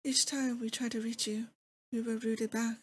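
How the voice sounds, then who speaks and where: A woman's voice: fairly high pitch, flat delivery, normal speed, slightly rough. A woman acting as a lovesick, possessive demon lord in a romantic ASMR roleplay, intimate and doting with a theatrical menace. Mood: tender, longing.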